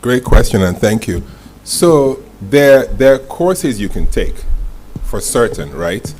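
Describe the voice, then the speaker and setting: A man's voice: medium pitch, big pitch swings, slow, a little mellow. A man answering audience questions at a live talk, direct and teasing, often checking in with "right?". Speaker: masterclass speaker. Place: indoor event room with audience. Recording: clearly noisy live room recording.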